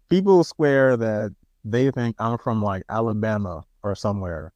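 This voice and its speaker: Soft-spoken New York man, low voice. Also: no room sound. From Queens, New York. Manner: soft-spoken, halting, self-deprecating. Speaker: podcast co-host. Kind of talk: casual chat.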